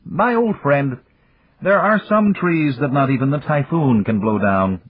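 A man voicing an older Japanese industrialist who is round, calm and philosophical, speaking in a measured, courteous, gently lecturing way. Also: mid-range voice, slightly rough, voice pushed up. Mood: measured, amiable. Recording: tinny, phone-like lo-fi.

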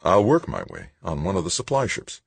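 A man's voice: low-pitched, very animated, brisk, gravelly, slightly rough, dry recording, full character voice. A man voicing a stubborn, resentful male spacefarer in an audiobook, blunt and sullen. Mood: protesting. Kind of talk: audiobook character dialogue.

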